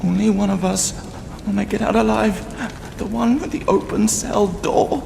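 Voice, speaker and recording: A woman's voice: mid-range voice, steady tone, moderate pace. A woman playing a spiteful, venomous prisoner in a fantasy game, dramatic and accusing. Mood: menacing. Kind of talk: fantasy game dialogue acting. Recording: echoing long reverb, clear background noise.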